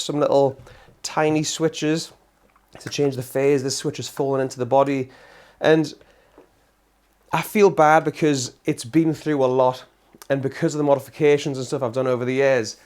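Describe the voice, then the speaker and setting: An eager guitar host with a worn, tired voice, chatting casually to his viewers. He talks at a mid pitch, laughs easily and keeps an excited tone throughout. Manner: enthusiastic, chatty, laughs often. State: losing his voice. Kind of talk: casual demo talk to camera.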